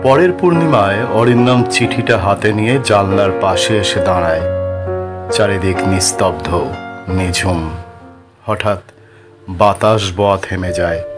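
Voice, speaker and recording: A man with a fairly low voice narrates a scripted horror tale. He builds suspense with dramatic delivery and deliberate pauses. Music is clearly heard behind him. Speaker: storyteller.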